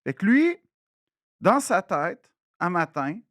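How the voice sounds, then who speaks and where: A man with a Québécois French accent, telling a story in a casual podcast chat, animated and full of restarts. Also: sits high, slow pace, no room sound, studio quality. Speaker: podcast co-host. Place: recording studio.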